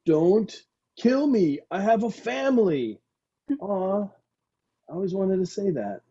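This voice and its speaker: A goofy young slacker played by a man in a sitcom read, husky and mellow. He drawls his lines with melodramatic swings, on slightly dull online audio. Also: sits high, lively intonation, slow pace, full character voice. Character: dim, dramatic slacker young man, soon a dad. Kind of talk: comedy script table read.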